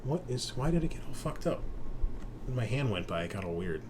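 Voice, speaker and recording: A man working as game master, narrating a tabletop roleplaying game in a halting, conversational way. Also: mid-range voice, very animated, very noisy.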